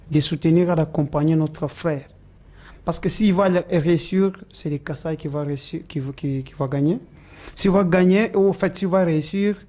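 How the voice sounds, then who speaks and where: A man speaks in a political interview, his voice dark and mellow. He pauses often, fills gaps with hesitations and restarts his sentences as he argues his case. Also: fairly high pitch. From Democratic Republic of the Congo. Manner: hesitant, many fillers and restarts.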